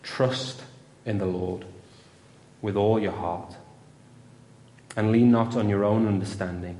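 A young man preaching and telling a story in a church, with a loud voice. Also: on the low side, fairly even intonation, slow pace. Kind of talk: sermon with story. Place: church hall. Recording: roomy church with long reverb.

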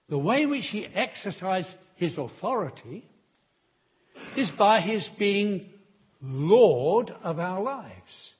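A man preaching a sermon in a church, delivering slow, emphatic appeals to the congregation. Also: high voice, breathy edge, highs cut off.

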